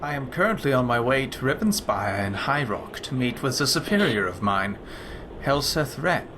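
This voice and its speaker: A man's voice: medium pitch, sing-song, close to an everyday voice. Man voicing a courteous lizard-folk lawman over noisy ambience. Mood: courteous, hopeful. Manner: formal, courteous, measured. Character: polite, earnest male lizard-folk lawman and alchemist. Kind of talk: scripted fantasy game dialogue. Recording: clear ambient noise behind the voice. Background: game ambience.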